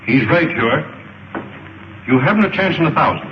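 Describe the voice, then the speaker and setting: A man's voice: fairly high pitch, expressive, normal speed, reverberant, dull audio, slight background noise, close to an everyday voice. A man acting a stern, decisive air marshal with clipped commands in an old sci-fi serial. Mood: grave, decisive. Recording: boxy old film soundtrack, music behind.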